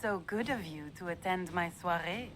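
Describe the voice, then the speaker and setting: A man's voice: high-pitched, moderate pace, music in the background, natural voice. An actor voicing a smug, theatrical noble villain who betrays his brother in a video game. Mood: gloating. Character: scheming treacherous noble sibling, villain.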